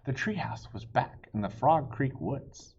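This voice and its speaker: Husky man reading a children's story, very animated. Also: medium pitch, measured pace. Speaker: audiobook reader. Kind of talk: expressive children's story narration. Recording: dull, lo-fi audio.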